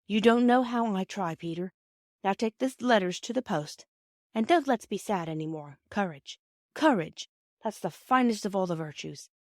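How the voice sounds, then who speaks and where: A gentle British mother, voiced by a woman reading a storybook, with a medium-pitched voice. She reasons patiently and tenderly, a little wistful, in a close, dry recording. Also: expressive, normal speed, dry recording, close to an everyday voice.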